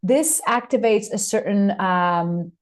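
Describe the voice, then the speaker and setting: A woman's voice: mid-range voice, slow. Emphatic woman from Israel, native Hebrew speaker. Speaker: guest, success and mindset coach. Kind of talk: explanatory interview talk.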